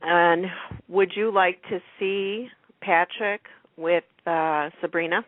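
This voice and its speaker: A woman's voice: fairly low pitch, steady tone, takes it slowly, no room sound. A woman making casual, agreeable conversation on a radio talk show in an informal way. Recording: narrow phone-like radio sound.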